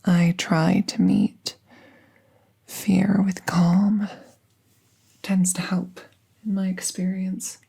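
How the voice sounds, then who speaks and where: Woman acting a slow, hushed, gentle magical vet. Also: mid-range voice, little pitch movement, exaggerated voice. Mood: calm, reassuring. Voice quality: soft, breathy, half-whispered. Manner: slow, hushed, soothing, reassuring. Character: gentle, calm female magical veterinarian treating a wounded werewolf. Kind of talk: soft-spoken roleplay audio, ASMR.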